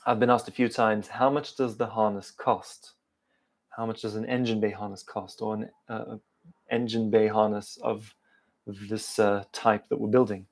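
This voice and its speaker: A man's voice: on the low side, level tone, moderate pace, gravelly. A man in his thirties with an English accent tinged with South African, chatting hesitantly with many fillers while answering viewer questions live. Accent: English with some South African. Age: 30s. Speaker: car channel host. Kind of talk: live stream Q&A chat.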